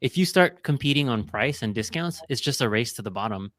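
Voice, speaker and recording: A man hosting an interview podcast, explaining points to listeners in a relaxed, conversational way. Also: mid-range voice, a little fast, no echo. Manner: explanatory, drawing business lessons. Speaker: podcast host. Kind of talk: casual interview chat.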